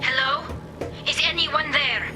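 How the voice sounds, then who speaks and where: Young alien engineer, earnest, soft and hushed, fairly high voice, game music behind. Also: female voice, steady tone, unhurried, low-quality recording. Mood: surprised. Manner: soft, hushed and wondering, turning eager. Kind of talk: video game voice acting.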